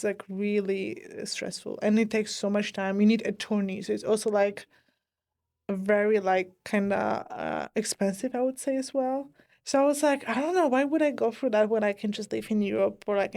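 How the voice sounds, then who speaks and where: Chatty young woman, fairly high voice. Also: gravelly, a little mellow. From Greece. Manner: chatty, many fillers. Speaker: guest, former athlete. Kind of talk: casual podcast conversation.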